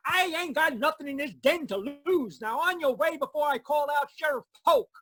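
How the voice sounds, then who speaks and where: Lady doing a cranky suspicious country storekeeper, high sharp scolding voice, old radio play over video call. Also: animated, normal speed. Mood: indignant. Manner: scolding, folksy. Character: cranky, suspicious rural roadside stand keeper. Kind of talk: old-time radio drama acting. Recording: live video-call performance.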